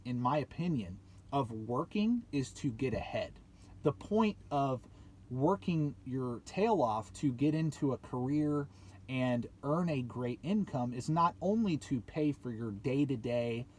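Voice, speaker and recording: A man's voice: mid-range voice, a little mellow, slight background noise. A man in his late twenties giving a motivational vlog talk to viewers, speaking emphatically, repeating points and asking rhetorical questions. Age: late 20s. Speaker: YouTube channel host.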